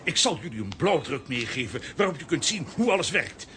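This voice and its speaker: A fussy professor, high and bright, stammering with eager fluster. It is children's radio drama, with pitch darting up and down. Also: male voice, sing-song, brisk, a little hiss or hum. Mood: proud, eager. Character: excitable, fussy male professor inventor. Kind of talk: children's radio play acting.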